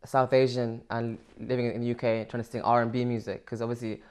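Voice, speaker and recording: A man's voice: mid-range voice, little pitch movement, fast talker, a little mellow, clear, resonant tone. A young man from Sri Lanka, answering interview questions casually with many fillers and restarts. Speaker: musician guest.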